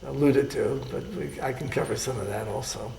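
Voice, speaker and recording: An older man, a surgeon, recalling his life in an oral history interview, speaking haltingly with many pauses and fillers. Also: mid-range voice, creaky, darkish tone, roomy sound, slight background noise.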